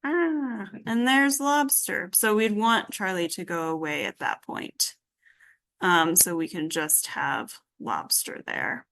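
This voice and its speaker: A woman teaching a software tutorial informally, thinking aloud as she works through each step. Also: medium pitch, slow pace. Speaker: workshop instructor.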